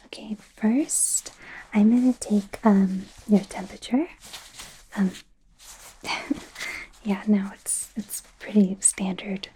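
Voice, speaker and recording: A woman playing an anxious, apologetic young werewolf medical student in a soft, hesitant ASMR roleplay whisper. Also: mid-range voice, lightly acted, rough recording. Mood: earnest, hesitant. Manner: hesitant, halting, nervous.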